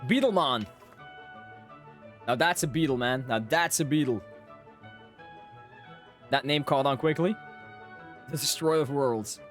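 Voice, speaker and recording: A man's voice: medium pitch, measured pace, pauses often, faint music behind. A man giving casual, joking live commentary as a game streamer, often repeating his phrases.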